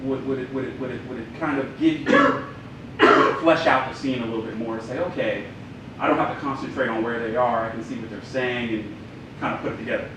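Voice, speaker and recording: A man's voice: medium pitch, fast talker. A man from the film team asking an audience member follow-up questions, often repeating himself as he gropes for words. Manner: probing, repeats himself while searching for words. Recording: echoey room, mic far off, noisy.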